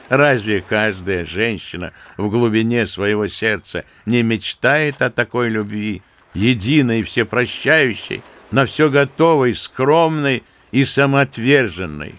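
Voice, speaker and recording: Old sentimental general for an audio drama, gravelly, fatherly and passionate. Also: mid-range voice, fairly bright tone. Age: older. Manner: fervent, musing, fond and paternal. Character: old kindly sentimental general, grandfather figure. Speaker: actor in audio play. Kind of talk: theatrical radio play acting.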